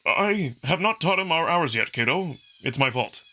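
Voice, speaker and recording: A man acts out a big wolf-man prince from a visual novel. He is cocky and playful, with a teasing, boisterous manner. The voice is forceful and gritty. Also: fairly high pitch, expressive, normal speed, voice pushed up. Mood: apologetic, deferential.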